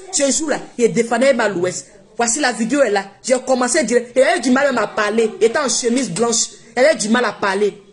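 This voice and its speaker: A woman whose first language is not French, speaking emphatically and repeating herself in a casual live-stream monologue on her phone. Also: fairly high pitch. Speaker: social media live streamer.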